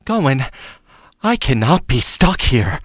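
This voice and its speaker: Man acting an animated, indignant fantasy prince. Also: mid-range voice, slow, no room sound, clean studio sound. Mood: pleading. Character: long-lost prince, indignant, pleading, self-absorbed. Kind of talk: stage-style fantasy audio drama acting.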